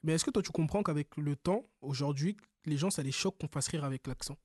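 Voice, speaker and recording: A man's voice: a little high, a little fast, darkish tone, no room sound, studio quality. A male interviewer asking questions and prompting his guest with short replies. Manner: prompting and attentive, short backchannels.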